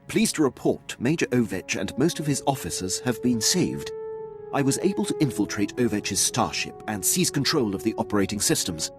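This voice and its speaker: An actor playing a loyal, deferential Imperial military officer in a video game, reporting in a crisp, formal manner. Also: male voice, medium pitch, natural voice. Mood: earnest, formal. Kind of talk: scripted video game acting.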